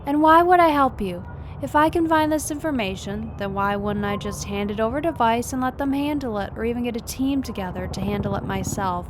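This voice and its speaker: A woman playing a tough, sarcastic, weary female police captain in an audio drama, gruff and confrontational. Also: on the high side, fairly quick, noisy background, lightly acted. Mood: defiant.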